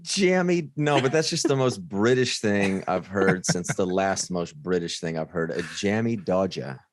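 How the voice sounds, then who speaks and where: A man co-hosting a casual podcast, asking quick musing questions. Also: low voice, expressive, no room sound, studio quality. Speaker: podcast co-host.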